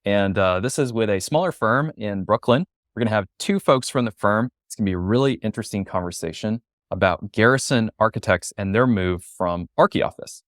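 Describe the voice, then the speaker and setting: Man, thirties, low voice, webinar host, presenting and interviewing, dry close sound. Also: a little fast, fairly bright tone, dry recording.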